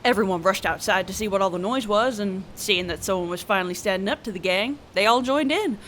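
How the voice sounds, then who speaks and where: A woman's voice: mid-range voice, a little fast, fairly bright tone, slight background noise, close to an everyday voice. A flirtatious bodyguard, voiced by a woman, chats in a breezy, teasing manner, with a voice that sits in the middle range. She tells her stories with casual swagger in an audio roleplay. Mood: storytelling. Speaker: side character in an audio roleplay.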